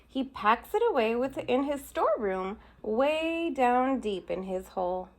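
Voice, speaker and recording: A woman's voice: fairly high pitch, very animated, a little slow. A woman teacher reading a picture book aloud to young children, stopping to point out and explain the pictures. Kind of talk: storybook read-aloud for children.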